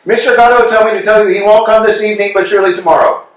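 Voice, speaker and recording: A man's voice: high voice, fairly even intonation, very fast, pitched above the performer's own voice, close to an everyday voice. A man playing a timid young goatherd boy in a staged reading, meekly answering "sir" to everything. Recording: echoey room, far from mic.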